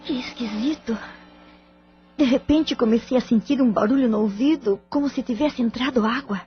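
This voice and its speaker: A woman playing a high-voiced troubled mother. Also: moderate pace, slightly rough. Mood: anxious. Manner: anxious, pleading, distressed. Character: troubled middle-aged mother, anxious and pleading. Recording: dull lo-fi audio with faint music.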